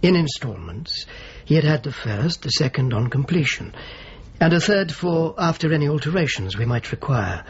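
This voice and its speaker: A male actor playing a pious, courteous churchman in a radio drama, speaking gently and thoughtfully, sometimes haltingly. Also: a little high, sing-song, lo-fi sound, slight background noise. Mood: mild, earnest. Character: pious, courteous churchman showing a visitor around. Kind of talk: naturalistic radio drama acting.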